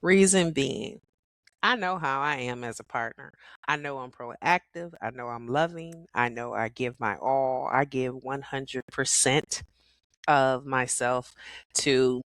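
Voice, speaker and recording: Hoarse, sassy middle-aged woman. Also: extremely low pitch, unhurried, no echo. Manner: animated, sassy, laughs often. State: hoarse from a cold. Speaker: podcast host. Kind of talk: casual solo podcast talk.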